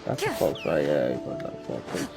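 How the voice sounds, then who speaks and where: A man streams a game with casual commentary, often muttering to himself. His voice is gravelly with fry and moves widely in pitch, with music playing behind. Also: wildly swooping pitch, normal speed. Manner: muttering, talking to self.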